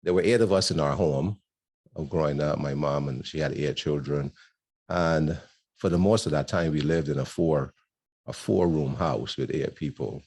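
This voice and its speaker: An older man from Barbados, a guest speaker telling his life story in a rambling, storytelling way with many pauses. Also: very deep voice, breathy edge. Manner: warm storytelling with frequent ums and laughs. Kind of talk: personal testimony talk.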